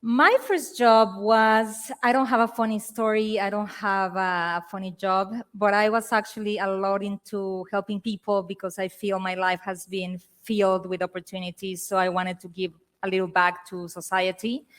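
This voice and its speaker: A woman's voice: mid-range voice, flat delivery, moderate pace, hardly any pauses, a bit bright. A woman from Mexico with a Mexican accent, speaking as a panelist on a conference stage into a handheld mic, chatty and self-deprecating. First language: Spanish.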